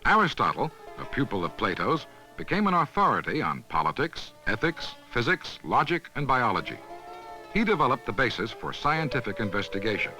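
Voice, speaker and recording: A man reads scripted documentary narration in a measured, instructional manner, as on a 1970s educational film soundtrack. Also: medium pitch, big pitch swings, pushed and bright, soft background music.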